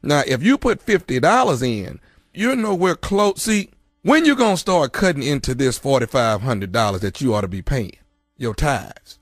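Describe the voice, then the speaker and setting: A man playing a pompous, pushy church money collector in a deadpan prank call, insistent and preachy, often stammering. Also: medium pitch, moderate pace, dry recording, studio quality. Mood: officious. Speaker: prank caller on a radio show.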